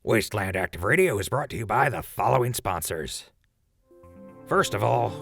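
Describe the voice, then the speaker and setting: Man playing a low-voiced wasteland radio announcer. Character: post-apocalyptic radio announcer warning wasteland settlers. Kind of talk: scripted in-world radio public service announcement. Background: prominent music.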